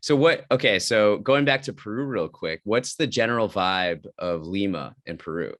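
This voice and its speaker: A man's voice: lowish voice, expressive, moderate pace, a bit bright. A man hosting a podcast, welcoming his guest and asking easygoing questions in a casual chat. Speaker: podcast host.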